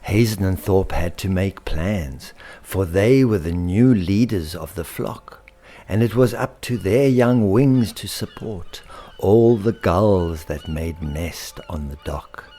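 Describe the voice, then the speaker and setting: A man narrating a rhyming children's story with theatrical, deliberate emphasis. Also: low voice, warm tone. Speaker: author and storyteller.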